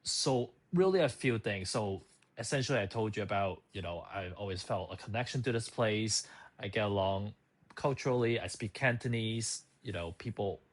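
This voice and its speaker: A man in his thirties talks straight to the camera about his own life, his voice sitting a little low. He is from Hong Kong. His delivery is loose and easygoing, full of fillers, restarts and small asides. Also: normal speed. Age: 30s. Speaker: channel host. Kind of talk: talking to camera, casual personal story.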